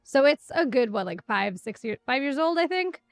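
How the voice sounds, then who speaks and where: A young woman chatting casually on a game stream, wry, animated and sweary, close on the mic. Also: high-pitched, normal speed, dry recording, faint music behind. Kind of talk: casual live stream chat while gaming.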